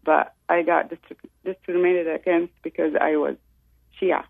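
A woman from Saudi Arabia tells her story as a guest, calling in over a tinny phone line. Her voice has a gravelly fry, and her low-key delivery sits close and dry on the line. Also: steady tone, normal speed, no echo. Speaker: call-in guest. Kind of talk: personal testimony.

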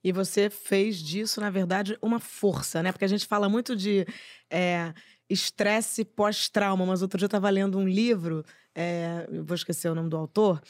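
A woman's voice: mid-range voice, fairly even intonation, normal speed, no room sound. Woman host, interviewer, dry close sound. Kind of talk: casual interview.

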